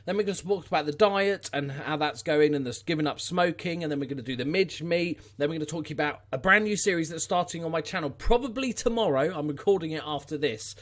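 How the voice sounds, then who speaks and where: A man's voice: on the high side, a bit bright. A man with a Scouse accent hosting a casual, unscripted vlog in an informal, wry way. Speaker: YouTube channel host.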